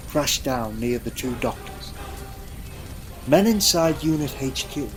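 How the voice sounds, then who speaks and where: A man's voice: mid-range voice, poor audio. A man reading scripted dramatic narration for an audio adventure story, with storybook delivery. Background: music.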